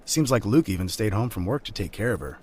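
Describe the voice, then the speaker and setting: Man acting as chummy guy, swooping low voice. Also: lively intonation, fast, darkish tone, husky. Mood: easygoing, chummy. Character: laid-back, chummy young male friend and coworker. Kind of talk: audio fiction acting.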